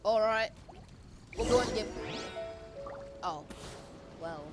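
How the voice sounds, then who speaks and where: A woman game streamer giving casual, joking commentary while she plays, with loud game music. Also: medium pitch, slow pace.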